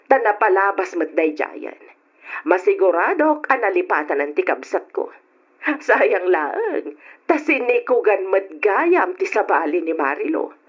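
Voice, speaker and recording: Scornful, husky Ilocano gossip. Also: female voice, mid-range voice. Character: bitter, scornful woman gossiping about a pregnant girl. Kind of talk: radio drama acting. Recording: dull old radio drama recording.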